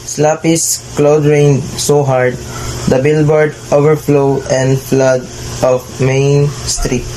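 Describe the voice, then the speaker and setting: A man's voice: fairly high pitch, flat delivery, slow, mellow, a little hiss or hum, rough recording. A man narrating a scripted tall tale for readers' theater.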